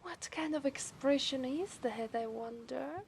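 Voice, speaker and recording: A woman's voice: sits high, a little hiss or hum. A woman with an Italian accent playing an obsessive, seductive mermaid in a teasing ASMR roleplay, sometimes slipping into whispers. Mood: teasing, gleeful. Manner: slow, teasing, lapsing into whispers.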